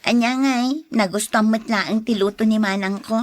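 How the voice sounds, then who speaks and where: A woman's voice: fairly high pitch, steady tone, normal speed, natural voice. A woman with an Ilocano accent playing a coy young woman in a radio drama, often drawing out her interjections. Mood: coy, casual. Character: Claire, coy young woman being courted. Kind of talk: Filipino radio drama acting.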